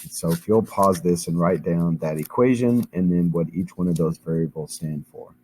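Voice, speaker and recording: A man teaching a chemistry lesson while hoarse and losing his voice, explaining each step patiently with frequent ums. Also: low-pitched, moderate pace, a little mellow, slight background noise. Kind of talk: recorded classroom lecture.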